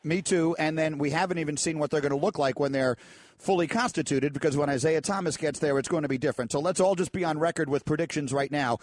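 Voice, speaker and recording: A man hosting a sports talk radio show in a studio, upbeat and brisk, often restarting his sentences. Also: a little high. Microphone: studio microphone.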